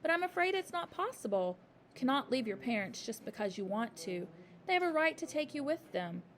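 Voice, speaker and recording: A woman doing a soft, kindly schoolteacher. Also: high voice, brisk, voice pushed up. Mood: gentle. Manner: soft, calm, tender. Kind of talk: storybook reading.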